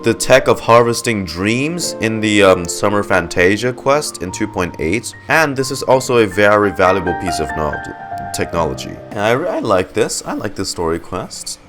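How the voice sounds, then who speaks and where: A man commentates casually on a video game, thinking aloud in a rambling, halting way. His voice is fairly low but moves around a lot in pitch, and game music plays clearly in the background. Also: animated.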